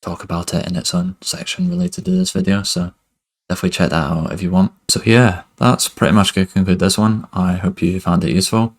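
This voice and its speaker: A young man giving a relaxed music production tutorial at his desk, talking loosely with lots of fillers. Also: deep voice, brisk, creaky. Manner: relaxed, many fillers like "kind of" and "basically". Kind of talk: casual screen-recorded tutorial.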